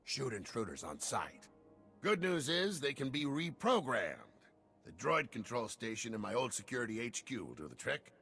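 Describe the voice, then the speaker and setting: An actor playing a gruff, irreverent veteran security chief, blunt and wry in an informal way. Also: male voice, mid-range voice, big pitch swings, normal speed, fairly bright tone, soft background music. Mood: brisk briefing.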